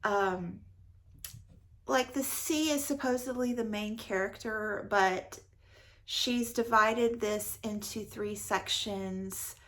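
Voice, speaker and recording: Female book vlogger, mid-pitched, slow-paced, enthusiastic and effusive, casual conversational delivery. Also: a bit bright. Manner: enthusiastic, effusive, repeats words for emphasis. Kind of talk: casual book review chat.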